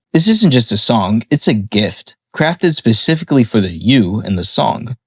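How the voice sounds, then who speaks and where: A man reading a scripted analysis of a song in a measured, explanatory way. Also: medium pitch, a little mellow, no echo, studio quality. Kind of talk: scripted narration.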